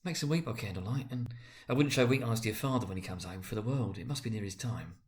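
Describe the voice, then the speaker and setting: A man voicing a gentle, careworn, loving mother in a dramatic storybook reading, with a halting manner. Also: on the low side, lightly acted. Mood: weary, sorrowful.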